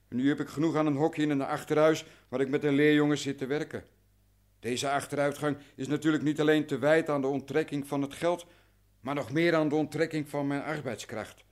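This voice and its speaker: Actor as anxious merchant, slightly high-pitched. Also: male voice, close to an everyday voice. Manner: explanatory, formal, careful. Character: cautious, anxious, worn-down male grain merchant. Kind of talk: radio drama acting.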